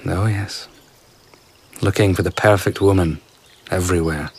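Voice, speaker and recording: An actor playing an enigmatic, flirtatious traveller in a radio drama, telling tales in an unhurried, playful way. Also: male voice, low voice, steady tone, husky, heavily acted. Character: enigmatic, charming, flirtatious well-travelled male storyteller of uncertain age. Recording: outdoor garden ambience with insect chirps.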